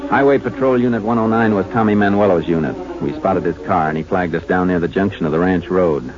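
A man acting a steady, methodical Texas Ranger investigator in a 1950s radio crime drama, terse, businesslike and matter-of-fact. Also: low-pitched, fast talker, music in the background, close to an everyday voice. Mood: sober narrating. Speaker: lawman and narrator. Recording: muffled, noisy 1950s radio recording.

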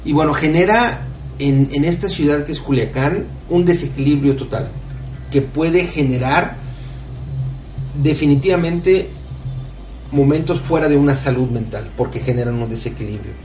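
A man's voice: a little high, moderate pace, darkish tone, far from the mic, soft background music. A man co-hosting a radio interview, asking long winding questions with many fillers. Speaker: radio show co-host. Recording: echoey room, off mic, faint noise.

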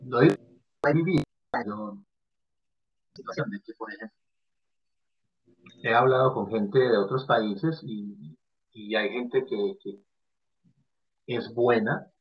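A man's voice: medium pitch, expressive, slow pace, long pauses. Colombian man, muffled lo-fi sound. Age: late 30s. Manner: chatty, emphatic, many discourse tags. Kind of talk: casual chat. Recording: muffled lo-fi audio.

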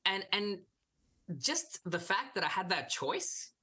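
A man's voice: sits high, very animated, slow, pushed and bright, breathy edge. A man in his twenties from India, a youth minister talking as a guest in a casual interview, earnest, with hesitant restarts and easy laughs. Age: 20s.

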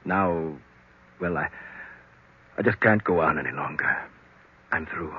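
A man's voice: rumbling low, unhurried, husky, heavily acted. An actor playing a weary, kindly older man with a hesitant, melancholy manner in a 1940s style radio mystery. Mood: defeated, resigned. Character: weary, kindly, defeated old uncle. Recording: tinny, narrow vintage radio recording.

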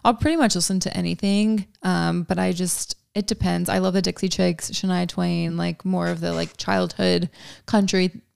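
Young woman, from Georgia, no country accent, gravelly fry, smooth, casual podcast chat, ums and likes. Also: lowish voice, fairly even intonation, normal speed, a little mellow, no room sound. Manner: chatty, many ums and likes. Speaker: podcast guest.

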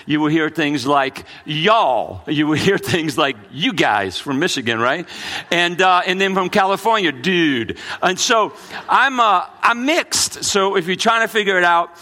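A man's voice: fairly high pitch, expressive, unhurried, sharp tone. A middle-aged man pastor with a mixed New Orleans and Brooklyn accent, preaching earnestly with frequent repeats and restarts. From United States. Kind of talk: sermon.

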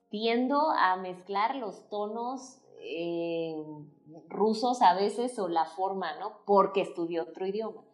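A playful, expressive woman with a Mexican Spanish accent hosts a relaxed chat, her pitch sitting in an ordinary middle range. Faint music plays softly behind her. She explains things warmly and with a light touch. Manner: playful, expressive, calls people "corazón". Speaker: host. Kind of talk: casual talk-show chat.

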